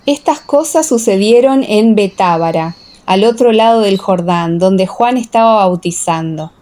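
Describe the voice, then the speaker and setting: Woman narrating scripture, medium-pitched, solemn. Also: unhurried, darkish tone. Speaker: audiobook narrator.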